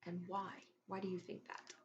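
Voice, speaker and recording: A woman reading a children's story aloud in an expressive, deliberate teacherly way, pausing to put questions to her listeners. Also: fairly low pitch, muffled recording, noisy background.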